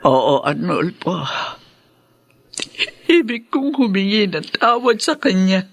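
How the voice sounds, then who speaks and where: A woman's voice: mid-range voice, big pitch swings, takes it slowly, a little hiss or hum. An older actress with a Filipino accent playing a remorseful, ailing mother in a radio drama, heavy and tearful in delivery. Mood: pleading, tearful. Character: sorrowful, remorseful ailing older mother longing for her children.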